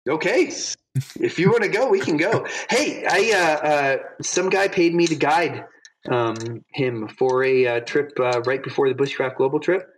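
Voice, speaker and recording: A man's voice: fairly high pitch, a little slow, slight background noise, low-quality recording. A man as a podcast guest in casual chat, telling upbeat, rambling stories full of fillers. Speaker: podcast guest, knife designer.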